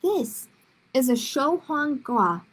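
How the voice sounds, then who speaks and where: A woman voicing a patient, calmly explaining mother in a children's storybook. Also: high voice, slow pace, exaggerated voice. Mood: instructive. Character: patient, assured mother answering her small daughter.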